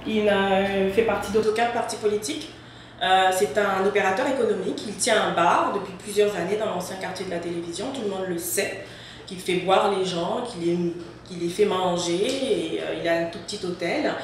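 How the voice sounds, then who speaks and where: A French woman, a guest in an interview, answering haltingly with many fillers. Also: mid-range voice, slight background noise. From France. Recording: echoey, distant mic.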